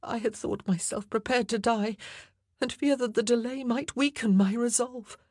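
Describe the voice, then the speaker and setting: A woman reading an audiobook, voicing a frightened young queen awaiting execution who pleads in breathy whispers. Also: on the high side, normal speed, a little mellow, no echo, clean studio sound, pitched above the performer's own voice, close to an everyday voice. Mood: pleading. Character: doomed young queen, frightened, faithful, fragile.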